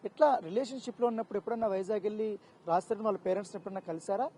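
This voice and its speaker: Brisk woman interviewer, darker tone. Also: medium pitch, mellow. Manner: code-mixes English words into questions. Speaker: interviewer for a news channel. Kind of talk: probing interview questions. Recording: faint background ambient noise.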